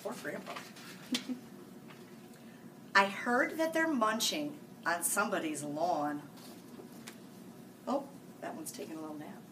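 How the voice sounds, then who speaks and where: Lively woman reading aloud to kids. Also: takes it slowly, pauses often. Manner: sing-song, animated read-aloud with sudden loud, high character lines. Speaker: school staff reading buddy. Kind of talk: children's picture book read-aloud. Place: small room, seated before a green-screen farm backdrop. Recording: distant mic with faint hiss.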